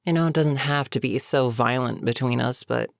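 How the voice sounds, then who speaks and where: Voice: medium pitch, normal speed, vocal fry, no room sound, clean studio sound, natural voice. A performer voicing a weary goblin captain in a roleplay audio, chatting casually and sardonically with a captive, amused yet businesslike. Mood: wry, matter-of-fact. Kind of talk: immersive character roleplay monologue, conversational.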